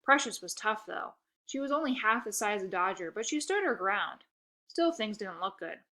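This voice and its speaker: A woman narrates a children's story aloud. Her mid-range voice is bright and expressive, with lots of lively rise and fall. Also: mid-range voice, moderate pace. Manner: expressive, occasional stumbles over words. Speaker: storybook reader. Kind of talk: animated children's book narration.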